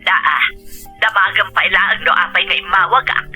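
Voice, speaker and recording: A woman's voice: mid-range voice, fairly even intonation, moderate pace, sharp tone, soft background music, poor audio. A woman playing a demanding, money-minded elderly mother in an Ilocano radio drama, nagging and querulous. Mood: indignant, nagging. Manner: nagging, complaining.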